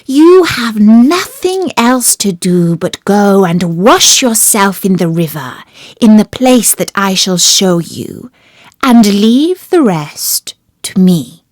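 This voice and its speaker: A woman's voice: medium pitch, sing-song, takes it slowly, no echo, clean studio sound. A woman voicing a cunning, gallant male cat in a storybook tale, speaking in a formal, persuasive, courtly manner. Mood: assured, scheming.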